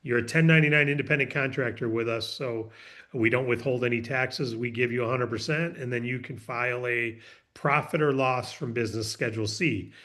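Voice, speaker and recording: Brief: man, bright clear voice, patient host walking callers through steps. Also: medium pitch, brisk. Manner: reassuring, repeats points patiently. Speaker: business training host. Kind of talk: casual explanatory call.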